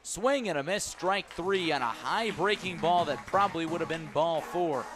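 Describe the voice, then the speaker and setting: A man calling a baseball game live on the radio as the play-by-play announcer, animated on big plays and playful with his phrasing. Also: on the high side, normal speed, over music. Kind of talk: live radio sports commentary. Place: live at a ballpark broadcast position.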